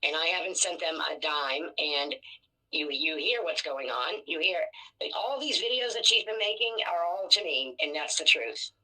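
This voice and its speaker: A woman with a rough, husky voice and a bright, hard edge, on the lower side for a woman. She vents in a casual, emotional flow, swearing freely and putting herself down. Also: expressive, normal speed, rough recording. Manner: blunt, profane, self-deprecating.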